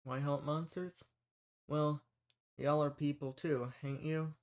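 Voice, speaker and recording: A man's voice: fairly high pitch, little pitch movement, slow. A man playing a kind, folksy human rebel who earnestly reassures and shelters monsters in a scripted audio roleplay. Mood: earnest, explaining. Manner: folksy, reassuring, earnest, uses y'all and ain't. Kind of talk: scripted audio roleplay, speaking softly to the listener.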